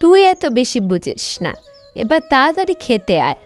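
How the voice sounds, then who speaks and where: A village housewife and mother voiced by a woman in a cartoon. Her voice is high and swings widely, with an expressive, sharp-tongued delivery. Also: normal speed, soft background music. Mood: scolding. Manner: scolding, expressive.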